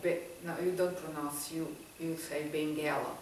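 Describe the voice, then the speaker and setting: A woman's voice: low-pitched, steady tone, normal speed. Woman, echoey distant voice. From Angola. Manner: warm, laughs often, searches for English words. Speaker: guest giving testimony. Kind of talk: personal testimony interview. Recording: echoey room, distant mic, faint noise.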